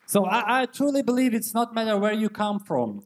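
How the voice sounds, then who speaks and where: A man's voice: high voice, very animated. Ukrainian-accented man preaching in short bursts. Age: 30s. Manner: broken English, short phrases paused for translation. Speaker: guest preacher. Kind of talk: sermon with live interpretation.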